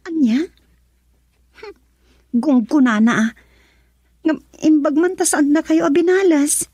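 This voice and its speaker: A woman with a Filipino accent playing an anguished young daughter in a radio drama, speaking in a soft, breathy, plaintive way. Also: sits high, animated, a little slow, no room sound, studio quality. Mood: anxious. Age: young adult. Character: anguished, tearful young pregnant married daughter. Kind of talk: Ilocano radio drama acting.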